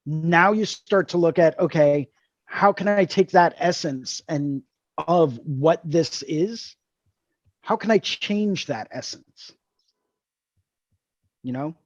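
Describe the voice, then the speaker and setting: A man's voice: sits high, dry recording, highs cut off. A man hosting a tattoo drawing group over a video call, explaining ideas earnestly and repeating key words. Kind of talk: casual mentoring chat on a video call.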